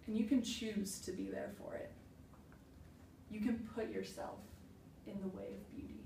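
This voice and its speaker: A grieving woman searches herself aloud in a stage monologue, acted by a young woman. She is raw yet resolute, speaking slowly with weighted pauses. The sound is roomy and distant from the microphone. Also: medium pitch, creaky, darkish tone. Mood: reflective. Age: young adult. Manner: slow, deliberate, emphatic phrases with heavy pauses. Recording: roomy echo, distant mic, faint noise.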